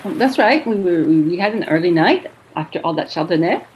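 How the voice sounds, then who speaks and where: A woman's voice: fairly low pitch, expressive, brisk, darkish tone, natural voice, rough recording. A woman playing an earnest, anxious boat passenger among friends in a radio play. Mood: explaining. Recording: faint background noise.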